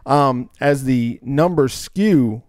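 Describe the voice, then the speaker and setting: A man's voice: mid-range voice, takes it slowly, darkish tone, no echo, studio quality. A man co-hosting a podcast, talking in a casual, opinionated and rambling way. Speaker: podcast co-host.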